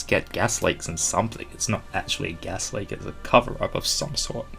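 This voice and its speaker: A man's voice: on the low side, gravelly. A man hosting a game playthrough, reading visual novel text aloud with jokey asides and stumbling over words. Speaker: gaming channel host. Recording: music playing behind the voice. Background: visual novel game music.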